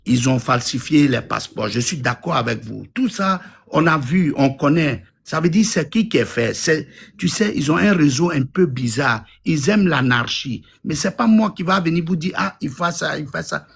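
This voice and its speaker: A man's voice: fairly high pitch, animated, moderate pace, creaky, slightly rough. A man in his fifties from Côte d'Ivoire, calling into a live talk room and speaking bluntly and insistently. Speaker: caller. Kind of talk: live audio room call-in.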